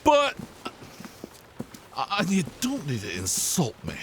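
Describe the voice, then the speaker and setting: An actor playing a deferential pirate master gunner with a halting manner in an audio drama. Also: male voice, high voice, wildly swooping pitch, background noise, full character voice. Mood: wounded protest. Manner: hesitant, halting.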